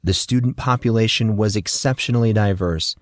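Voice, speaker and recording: A man narrating a fantasy audiobook in steady, measured storytelling. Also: on the low side, no room sound.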